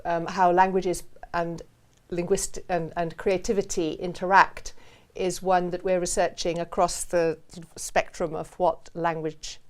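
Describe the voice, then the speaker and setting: A middle-aged woman speaks on a studio panel in a slightly low voice. She explains at length, pausing with frequent ums as she thinks. The lapel mic keeps her close and clean. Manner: thoughtful, frequent um pauses and restarts. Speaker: academic panelist. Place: studio with balloons.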